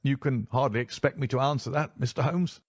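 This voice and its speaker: A man's voice: medium pitch, steady tone, a little fast, no room sound, studio quality, pitched above the performer's own voice, lightly acted. A man voicing a nervous, frightened fat older man with a reedy, quivering voice. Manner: anxious, pleading.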